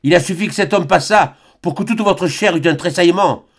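A man voicing a harsh, fanatical friar who shouts in a hard voice. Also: sits high, slow, breathy edge, pitched above the performer's own voice, exaggerated voice. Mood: stern.

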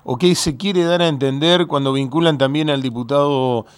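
A man's voice: medium pitch, steady tone, moderate pace, clean tone, no echo. A man interviewing a caller on the radio, probing with careful questions and many fillers.

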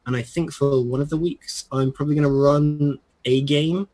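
Husky-voiced hesitant man. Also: on the high side, fairly even intonation, normal speed, a little mellow. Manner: hesitant, many fillers. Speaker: regular player. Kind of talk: casual chat.

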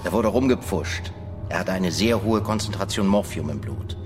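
An actor playing a learned forensic doctor with dry, morbid humour in a radio drama. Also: male voice, sits low, animated, moderate pace. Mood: matter-of-fact. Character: dryly humorous, Bible-quoting male forensic doctor. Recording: long hall reverb, music underneath.

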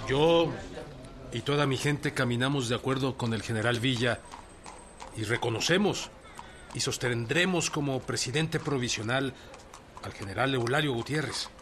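A male actor voices a steadfast revolutionary general in a radio play. The man is mid-pitched, firm and declarative. Hooves and outdoor noise are clearly heard around him. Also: normal speed, long pauses, a bit bright, close to an everyday voice. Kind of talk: radio drama dialogue. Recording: noisy outdoor street with horses.

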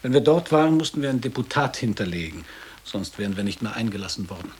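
An actor playing a middle-aged former boarding school pupil in a radio drama, blunt and reminiscing in measured, literary phrases. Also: male voice, on the low side, lively intonation, breathy edge.

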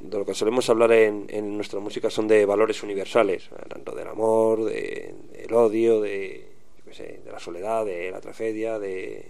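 A man, a band member, answering radio interview questions in a casual, relaxed way. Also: fairly low pitch, normal speed, creaky, hardly any pauses.

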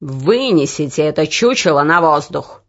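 A woman voicing a cruel male overseer with a whip, growling out slow threats. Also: sits low, lively intonation, pitched below the performer's own voice. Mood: angry. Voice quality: growling, gruff. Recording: dull lo-fi recording.

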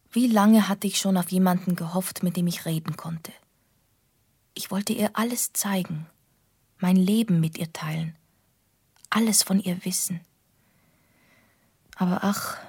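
Haunted earnest young woman, gothic radio play, soft breathy voice, really dry sound. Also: medium pitch, no room sound. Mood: yearning. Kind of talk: radio drama narration and dialogue.

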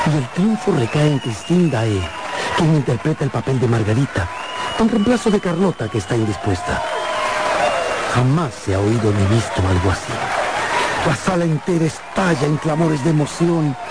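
A man narrating a radio drama in a theatrical storytelling way, with deliberate pauses. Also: fairly high pitch, sing-song, over a noisy crowd, heavy background noise. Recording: music behind the voice.

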